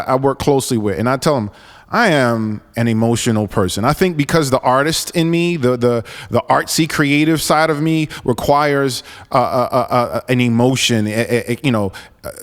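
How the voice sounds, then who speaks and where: Forties male podcast host, earnest and halting, smooth resonant tone, reverberant room. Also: mid-range voice. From New York, raised in Florida. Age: 40s. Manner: earnest, halting with many restarts and fillers. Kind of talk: solo podcast monologue.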